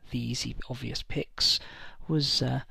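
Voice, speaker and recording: Creaky, bright-toned male hobby host. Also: mid-range voice, fairly even intonation, dry recording, clean studio sound. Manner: hesitant, many fillers and pauses. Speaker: tabletop wargaming channel host. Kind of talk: casual list-building talk to camera.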